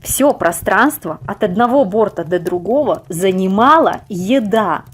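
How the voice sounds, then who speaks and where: A woman reading a scripted story aloud as an expressive narrator. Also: mid-range voice, measured pace, husky.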